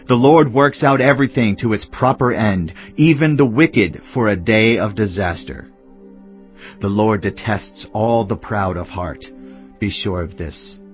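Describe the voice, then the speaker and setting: A man giving a dramatised reading of scripture in a solemn, measured delivery. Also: lowish voice, dull audio. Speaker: audio Bible reader. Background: clear background music.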